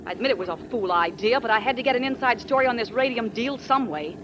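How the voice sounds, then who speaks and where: An actress playing a self-possessed, flirtatious magazine writer in a 1940s radio drama. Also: female voice, a little high, lively intonation, fast talker, lightly acted. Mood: defiant. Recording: noisy old radio recording.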